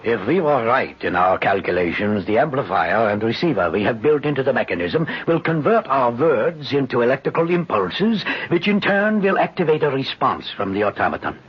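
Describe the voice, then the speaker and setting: A man's voice: medium pitch, sing-song, brisk, hardly any pauses, breathy edge. An actor playing a proud, commanding inventor and father in an old radio drama, with a formal, emphatic delivery. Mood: focused. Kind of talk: 1950s radio drama acting. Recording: muffled old radio recording, loud music.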